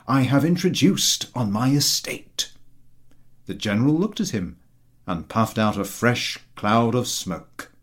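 A man's voice: on the low side, breathy edge. A man reading a literary short story aloud as scripted narration. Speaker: audiobook reader.

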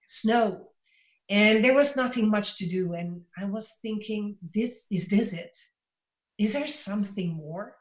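A Belgian woman whose first language is Dutch, an author and coach telling her story in a reflective interview and laughing often. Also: on the high side. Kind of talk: conversational interview. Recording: echoey room.

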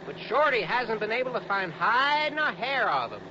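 A man's voice: very high-pitched, sing-song, moderate pace. An American man playing a young, folksy, wordy insurance agent with a hesitant manner in a 1960s radio drama. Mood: folksy, explaining. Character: young, rambling male insurance agent out west. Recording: dull, noisy vintage radio recording.

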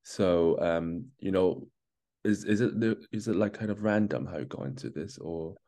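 A man's voice: sits low, normal speed, mellow. A man hosting a podcast interview, listening attentively, giving frequent short acknowledgements and asking questions.

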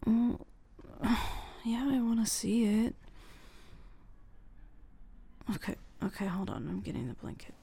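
A woman playing an affectionate, curious, easily horrified tomboy girlfriend in a casual, softly spoken ASMR roleplay. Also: on the high side, big pitch swings, vocal fry, breathy edge, exaggerated voice. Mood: sleepy, affectionate. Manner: soft, hushed, unhurried, casual with hesitant restarts. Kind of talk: girlfriend roleplay ASMR audio, casual improvised-sounding chat.

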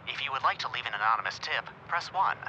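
A man's voice: mid-range voice, animated, quick pace, pushed and bright. A man voicing a polite automated phone menu in an audio drama, formal and scripted. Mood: polite, impersonal. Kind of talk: recorded announcement in audio drama. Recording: dull sound, faint noise.

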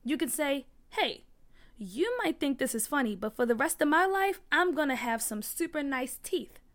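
A woman voicing a firm, nurturing mother in a storybook, speaking in a measured, reassuring manner. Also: high voice, big pitch swings, fairly bright tone.

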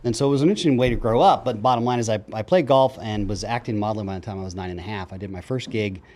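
Fast-talking low-voiced male guest. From grew up in Japan and Germany. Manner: animated storyteller, acts out quotes. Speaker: guest, psychologist. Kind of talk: casual interview chat.

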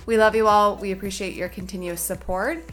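A woman's voice: medium pitch, fairly even intonation, a little fast, fairly bright tone, faint music behind. A woman hosting a podcast, reading an upbeat, bubbly promo break. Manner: bubbly, enthusiastic.

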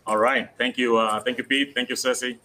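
A middle-aged man from Rico, talking as a guest in a live interview at a convention center. He speaks earnestly, repeating words and filling pauses with "uh". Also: medium pitch, fairly even intonation, sharp tone, slight background noise. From Puerto Rico. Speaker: guest, city code department director.

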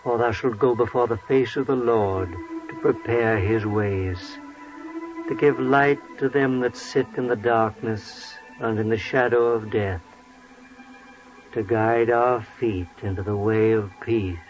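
An actor playing a devout old biblical priest in a 1940s radio drama, solemn and declamatory. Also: male voice, fairly low pitch, fairly even intonation, slow, over music. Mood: reverent. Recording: muffled 1940s radio recording.

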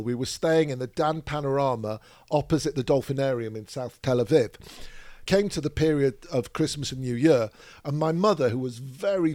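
A man's voice: medium pitch, darkish tone, no room sound. A middle-aged man from Manchester with a Northern English accent, co-hosting a podcast in casual chat with a dry, grumpy humour. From England. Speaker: podcast co-host.

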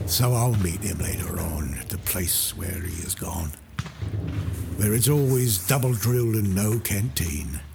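A man voicing a rough old British soldier, reciting a war poem in heavy with bellowed calls. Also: lowish voice, unhurried, noisy background. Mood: gruff recollection. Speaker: audiobook narrator. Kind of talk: dramatic poetry reading. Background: music.

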